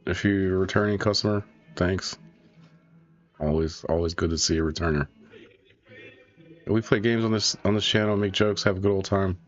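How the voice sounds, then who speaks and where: A man with a rough voice, getting over a cold, rambling and joking his way through casual gameplay commentary. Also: deep voice, fairly even intonation, moderate pace, pauses often. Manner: laid-back, rambling, joking. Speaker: gaming streamer. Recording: muffled stream mic with game music.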